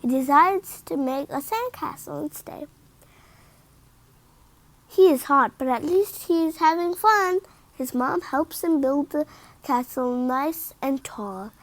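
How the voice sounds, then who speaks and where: High-pitched female storyteller, slow and deliberate. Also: lively intonation, dry recording. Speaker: read-aloud storyteller. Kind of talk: expressive children's picture-book read-aloud.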